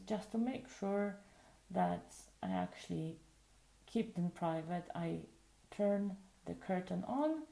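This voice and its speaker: A woman whose first language is Polish, calmly explaining phone functions step by step in a patient way. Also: on the low side, creaky, reverberant, poor audio. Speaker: tutorial presenter. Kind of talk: casual spoken tutorial. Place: indoors at a table.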